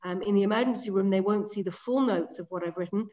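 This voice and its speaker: A middle-aged woman with an English accent presents a lecture online, speaking in a clear, organised way with frequent ums. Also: mid-range voice, quick pace, mellow, dull audio. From England. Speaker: medical director giving a webinar lecture. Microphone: video call.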